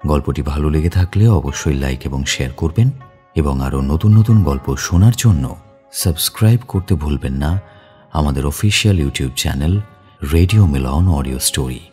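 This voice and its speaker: Announcer: man with an extremely low, dark voice, scripted sign-off delivery, light music bed. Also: expressive, normal speed. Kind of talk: scripted channel outro.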